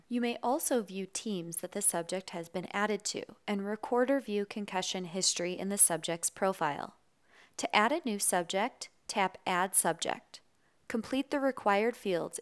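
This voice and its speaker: A woman with a smooth, clear voice reads a scripted tutorial voice-over. Her delivery is even, measured and instructional, in a mid-range pitch, recorded close on a studio mic. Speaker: tutorial narrator.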